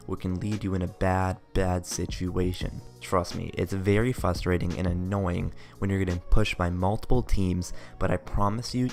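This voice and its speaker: A young man with a raspy, tired voice giving earnest gaming tips in a late-night voice-over. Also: low voice, darkish tone. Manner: earnest, advice-giving, loose phrasing. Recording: faint music behind voice. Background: faint background music.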